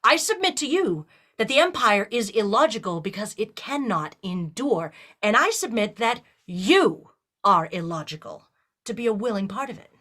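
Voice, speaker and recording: A woman's voice: mid-range voice, animated, moderate pace, pushed and bright. A woman playing a bold, persuasive female starship captain in a fan audio drama, speaking with theatrical emphasis. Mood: impassioned. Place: remote virtual production.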